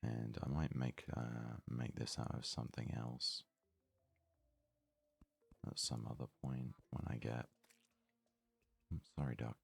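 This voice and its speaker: A man giving soft-spoken ASMR game commentary, murmuring his thoughts aloud in a breathy voice that often slips into a whisper. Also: rumbling low, flat delivery, no echo. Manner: murmured, unhurried thinking aloud. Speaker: let's play host.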